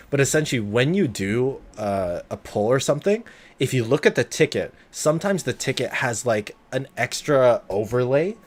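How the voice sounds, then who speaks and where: A man's voice: medium pitch, a little hiss or hum. A man giving casual, joking, sweary live commentary on a game. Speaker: game streamer. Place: live stream with faint game music behind.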